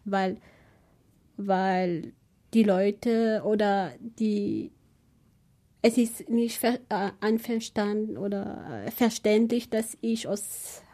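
A woman's voice: mid-range voice, slow, dark tone. A woman who grew up in Gabon, speaking on a panel and searching for her words with many fillers. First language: French. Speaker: panel guest, language researcher. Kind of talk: panel discussion.

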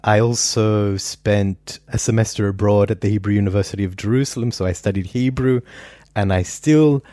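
A man, a historian answering interview questions in a thoughtful, measured way with many pauses. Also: on the low side, fairly even intonation, mellow, dry recording. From Australia. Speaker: historian guest.

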